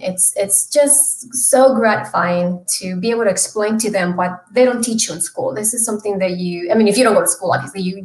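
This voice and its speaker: Woman from mexico chatting on a video call, earnest, lots of ums, middle pitched voice, roomy sound. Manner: earnest, with many fillers and restarts. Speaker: guest, small business loan expert. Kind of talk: casual interview chat. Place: home room.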